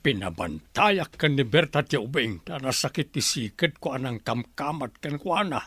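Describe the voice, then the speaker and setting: A man's voice: mid-range voice, lively intonation, normal speed, a bit bright, breathy edge, no room sound. A man acting a grumbling, scolding older father with an aching back in a radio drama, speaking with an Ilocano accent. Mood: uneasy, explaining. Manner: grumbling, reproachful.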